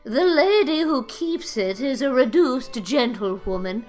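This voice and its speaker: An actress playing a genteel, brisk older lady who speaks in a proper, emphatic, formal manner in an audiobook story. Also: sits high, lively intonation, a little mellow, soft background music. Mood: genteel, reassuring. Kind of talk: audiobook character acting.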